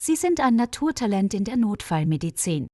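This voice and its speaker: A woman's voice: medium pitch, sing-song, normal speed, mellow, no room sound, studio quality, close to an everyday voice. A woman voicing a friendly, eager new female nurse, polite and appreciative, in a narrated story.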